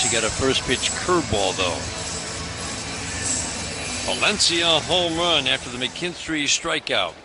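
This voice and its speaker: An American man calling a baseball game live as a radio play-by-play announcer. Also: medium pitch. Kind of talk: live radio sports commentary. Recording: stadium ambience noise behind the voice. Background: ballpark ambience.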